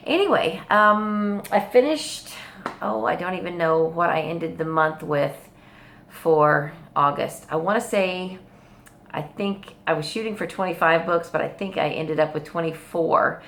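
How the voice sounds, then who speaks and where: Low-pitched woman, casual meandering vlog chat. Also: unhurried, fairly bright tone, reverberant. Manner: hesitant, meandering, trailing off and backtracking. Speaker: book vlogger. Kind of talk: casual rambling video chat. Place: hospital room.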